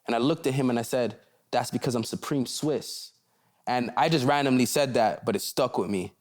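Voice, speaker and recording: Laid-back young Canadian rapper. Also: male voice, medium pitch, moderate pace. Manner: laid-back, loose, repeats "you see what I'm saying". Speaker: rapper guest. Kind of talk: casual interview chat.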